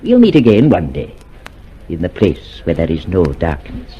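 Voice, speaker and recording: Aloof party official, very animated intonation, breathy, courteous, dull recording, radio play. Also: male voice, low-pitched, moderate pace, heavily acted. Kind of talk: radio drama acting.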